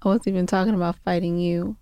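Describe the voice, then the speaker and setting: A woman's voice: lowish voice, fairly even intonation, fast, warm tone, clear, resonant tone, dry recording. A woman co-hosting a podcast, telling stories in casual, playfully argumentative banter. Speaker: podcast co-host.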